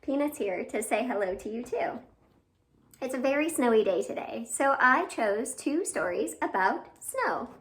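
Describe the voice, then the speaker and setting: A woman's voice: fairly high pitch, animated, unhurried, a bit bright. A woman hosting storytime for young children, reading aloud expressively with exclamations and questions to her listeners. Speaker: children's storytime host at a library. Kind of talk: animated read-aloud for young children with sung greeting.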